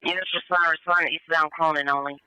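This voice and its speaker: A man dispatches over police radio in formal, procedural phrases. His voice is fairly high for a man, extremely crisp and very sharp. Each report is relayed concisely, as a broadcast to units. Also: fairly even intonation, a little fast, no echo, highs cut off. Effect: police radio transmission.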